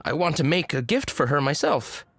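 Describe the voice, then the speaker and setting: A man's voice: fairly high pitch, normal speed, a bit bright. A man voicing a sheepish, apologetic town drunkard in a video game, hesitant and bumbling. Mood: remorseful.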